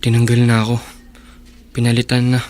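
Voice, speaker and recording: Radio drama husband, played by an actor: poor, young, hot-headed yet self-sacrificing, medium pitch, earnest delivery. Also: male voice, completely flat delivery, a little fast, a little mellow, natural voice. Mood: downcast, evasive. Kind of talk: Tagalog radio drama acting.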